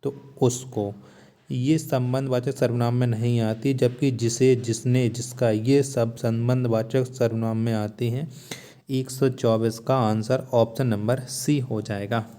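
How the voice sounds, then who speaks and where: A man's voice: medium pitch, dark tone. A man teaching a lesson, methodically reading out quiz questions and repeating each key answer after a rhetorical question. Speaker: Hindi grammar teacher for exam prep.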